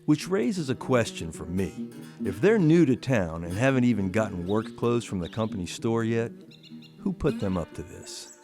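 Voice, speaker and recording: A man's voice: fairly low pitch, big pitch swings, moderate pace, music in the background. An American man acting in a radio drama as a shrewd, questioning newspaperman of the 1910s. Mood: suspicious, reasoning.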